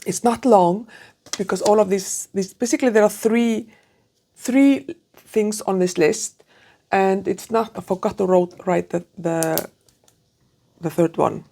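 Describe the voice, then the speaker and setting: Mellow, halting woman. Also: medium pitch, gravelly. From Iceland. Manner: halting, self-correcting, thinking aloud. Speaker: PhD student vlogger. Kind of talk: casual chat to camera. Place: small room with curtains, indoors. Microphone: clip-on lapel mic.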